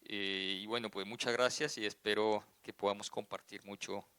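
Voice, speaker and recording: A man's voice: fairly low pitch, fairly even intonation, moderate pace, sharp tone, smooth, no room sound. A man giving earnest conference remarks as an academic, with hesitant fillers. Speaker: academic speaker.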